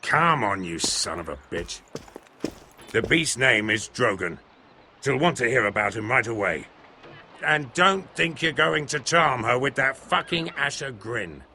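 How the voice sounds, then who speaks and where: A gruff adventurer from a fantasy game, hard and threatening. The voice is very sharp and forceful at an average pitch, with faint noise behind it. Curses come easily to him. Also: male voice, expressive, unhurried, exaggerated voice. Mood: gruff, warning. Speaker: voice actor in a game. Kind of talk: dramatic game dialogue.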